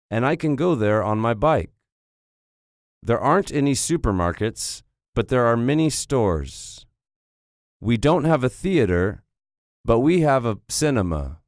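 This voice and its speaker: A man reading a textbook passage as a boy from a French village, slow and careful. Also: medium pitch, no room sound, studio quality.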